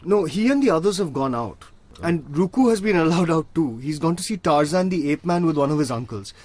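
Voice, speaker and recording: An actor playing a polite, evasive household secretary in a radio drama, with a husky voice. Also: male voice, fairly high pitch, lively intonation, brisk, a little mellow.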